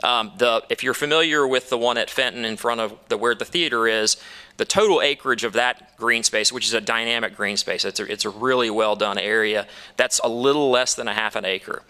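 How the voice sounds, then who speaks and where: A man's voice: medium pitch, fairly quick, sharp tone, clear, resonant tone. A man speaking as an attorney for a developer at a town council hearing, upbeat and persuasive, with some fillers and restarts. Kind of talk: formal presentation.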